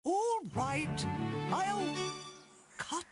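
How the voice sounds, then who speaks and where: A woman's voice: very high voice, animated, drawn-out words, heavily acted. A woman voicing an imperious, scheming queen and mother in a cartoon game, with a haughty, theatrical manner. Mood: commanding, coaxing. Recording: loud music over the dialogue.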